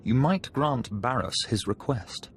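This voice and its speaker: An actor playing a stern senior dark lord in a video game, with a formal, cautioning manner. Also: male voice, medium pitch, lively intonation, unhurried, slight background noise. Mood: stern, warning. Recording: music under the voice.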